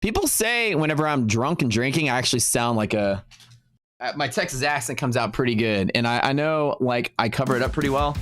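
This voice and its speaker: A man's voice: mid-range voice, lively intonation, a little fast, fairly bright tone. A man hosting a live trading stream, chatting in an upbeat, neutral broadcaster manner. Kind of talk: casual live stream chat.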